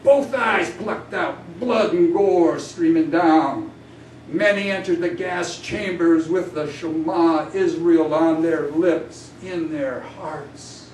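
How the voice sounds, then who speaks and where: A man's voice: fairly high pitch, slow pace, a little mellow. A man voicing an indignant, grieving, combative biblical prophet in a declamatory, accusing epic poetry recitation. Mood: angry, mournful. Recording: distant roomy live recording, faint noise.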